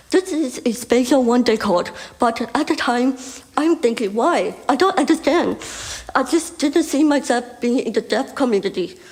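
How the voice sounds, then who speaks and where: A woman's voice: on the high side, darkish tone, low-quality recording. Young woman, careful hard-of-hearing speech. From Burma. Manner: careful, deliberate delivery with small restarts. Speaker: conference speaker. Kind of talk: prepared speech.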